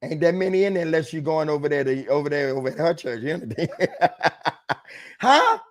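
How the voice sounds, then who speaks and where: A man's voice: a little high, sing-song, moderate pace. A man as a guest author giving emphatic, sermon-like advice, calling out to the audience with rhetorical questions.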